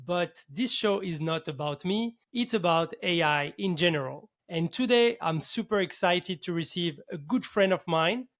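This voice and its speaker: A man's voice: sits high, a bit bright. A man hosting a show in a casual, enthusiastic interview style. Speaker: podcast host.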